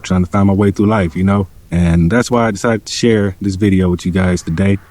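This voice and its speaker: A man speaking slowly and quietly in a subdued, reflective confessional. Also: deep voice, fairly even intonation. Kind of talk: personal confessional video.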